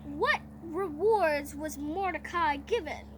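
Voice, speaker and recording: A young female storyteller playing an imperious, outraged Bible-story king in a commanding, drawn-out, emphatic way. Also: high voice. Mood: suspicious. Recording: clearly noisy recording.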